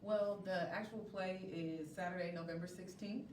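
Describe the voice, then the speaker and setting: A woman with a fairly low voice speaks effusively and informally as an interview guest. She is heard from a little distance in an echoey room. Clear noise sits behind her. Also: steady tone, normal speed, a little mellow. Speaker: interview guest, stage playwright. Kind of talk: announcements and thanks in live interview. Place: indoor venue before a studio audience. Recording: echoey room, distant mic, noisy background.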